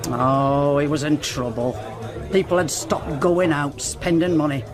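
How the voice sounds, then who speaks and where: A woman's voice: low-pitched, steady tone, a little slow, a crowd in the background. An actress playing a blunt, sardonic middle-aged club landlady in a radio drama. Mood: wry, gossipy. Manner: blunt, wry, colloquial. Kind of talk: naturalistic radio drama acting.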